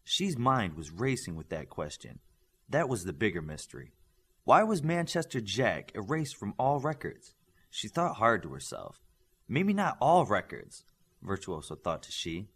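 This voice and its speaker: A man's voice: on the low side, very animated, normal speed, fairly bright tone, husky. A man reading scripted story narration as an audiobook narrator.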